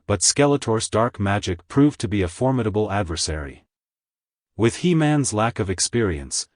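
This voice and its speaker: Low-voiced man narrating a dramatic story. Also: dry recording, studio quality. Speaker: narrator, fan fiction reader.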